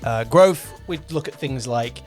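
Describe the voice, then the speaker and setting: A man of average pitch hosts a casual producer podcast. He talks in a conversational, loosely phrased way with some hesitation. Music plays behind his voice. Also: measured pace, a little mellow. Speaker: podcast host, audio drama producer and director. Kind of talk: casual two-person podcast chat.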